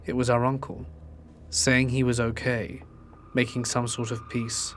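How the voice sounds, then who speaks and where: A man narrating true ghost stories in a steady storytelling delivery, reading submitted accounts. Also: mid-range voice, measured pace, a little hiss or hum.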